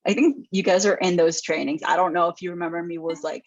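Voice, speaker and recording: A woman's voice: fairly low pitch, steady tone, fast, dry recording. A woman hosting a panel, chatting in an informal, effusive way over dull, lo-fi call audio. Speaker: panel host. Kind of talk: casual chat.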